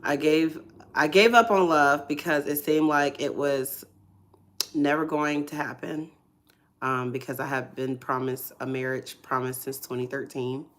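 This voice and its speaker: A hoarse, congested woman hosting a ministry livestream, sharing a personal testimony in a soft, hesitant way with many fillers. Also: low-pitched, steady tone, measured pace.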